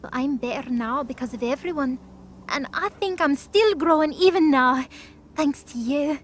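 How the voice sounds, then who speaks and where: A woman playing a gentle, earnest young goddess in an audio drama, speaking in halting, simple phrases. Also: sits high, slight background noise, lightly acted. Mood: soft, reflective. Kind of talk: acted audio drama dialogue.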